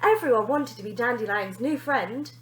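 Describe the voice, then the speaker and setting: A woman reads a children's story aloud in a very high voice. Her delivery is expressive and emphatic, with lively swings in pitch. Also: moderate pace, echoey room. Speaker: teacher reading a storybook aloud.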